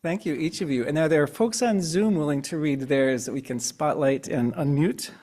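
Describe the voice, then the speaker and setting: A man leading a church service, gently inviting members to the pulpit in a sanctuary, heard from across the room. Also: on the high side, moderate pace.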